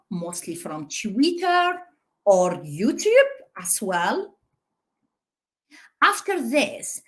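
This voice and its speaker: Lively, unhurried woman presenting research. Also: sits high. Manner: lively, with many "so" and "uh" fillers. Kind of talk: conference talk.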